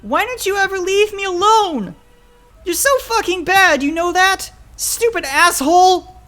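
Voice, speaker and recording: Shrill, screaming, defiant animal boy. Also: very animated, moderate pace, soft background music, exaggerated voice. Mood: furious. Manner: foul-mouthed, yelling, voice cracking.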